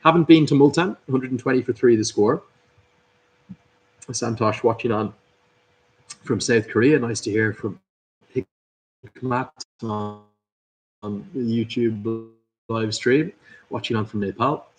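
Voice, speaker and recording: A man's voice: mid-range voice, measured pace, pauses often, poor audio. A man hosting a live cricket watchalong, commentating and chatting through viewer comments. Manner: chatty, upbeat, reads out viewers' names and greetings. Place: at a cricket club.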